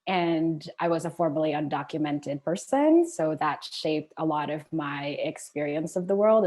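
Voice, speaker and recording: Young woman activist, relaxed reflective talk. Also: deep voice. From Philippines. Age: young adult. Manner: thoughtful, relaxed, with frequent fillers. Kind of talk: conversational self-introduction.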